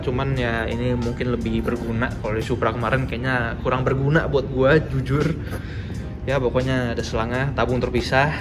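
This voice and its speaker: A young man, chatting informally and slangily as he explains and shows things as a motorcycle vlogger. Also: mid-range voice. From Indonesia. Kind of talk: casual vlog chat and product showcase.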